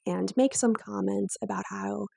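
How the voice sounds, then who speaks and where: A woman's voice: medium pitch, steady tone, moderate pace, gravelly, crowd noise. A woman in her twenties with a weak, strained, breaking voice, telling her own story to camera in a candid, lightly self-mocking way. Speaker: vlogger.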